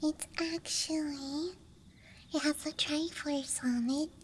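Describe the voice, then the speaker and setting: A woman whispering softly and breathily in a rambling, hesitant ASMR show-and-tell as a friendly dice goblin, close to the microphone. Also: sits high, steady tone, takes it slowly, slight background noise. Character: friendly dice goblin hoarding her treasures. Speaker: ASMR performer. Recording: close mic, audible ambient night sounds.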